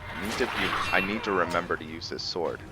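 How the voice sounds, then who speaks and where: A man giving casual gameplay commentary for a roleplay let's play, thinking aloud in a halting way. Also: low voice, animated, heavy background noise.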